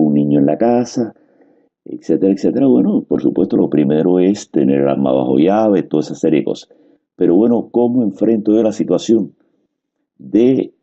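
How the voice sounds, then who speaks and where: A middle-aged man from Cuba with a Cuban Spanish accent, talking through a personal monologue in an unhurried, reflective way with frequent hesitations. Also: very deep voice, vocal fry, breathy edge. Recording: dull lo-fi recording.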